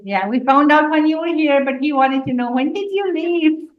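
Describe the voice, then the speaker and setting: A woman's voice: sits high, expressive, normal speed, roomy sound, highs cut off. A woman teacher in a classroom, hosting a video call and prompting her pupils to speak. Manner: prompting children, conversational.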